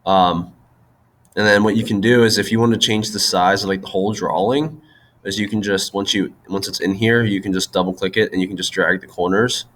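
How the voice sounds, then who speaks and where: A young man with a stuffed-up nose walks through a software tutorial in a loose, chatty way, full of ums and likes. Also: fairly low pitch, fairly even intonation, fairly quick. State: stuffy-nosed from allergies. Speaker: tutorial presenter. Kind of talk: casual screen-recorded software tutorial.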